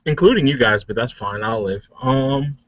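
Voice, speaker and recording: A man's voice: medium pitch, moderate pace, a bit bright, slight background noise. A man playing a tabletop game, chatting casually and jokingly. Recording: muffled lo-fi voice recording.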